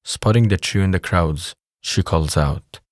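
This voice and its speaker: Low deep guy narrating an audio drama script, close dry recording. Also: unhurried, dry recording.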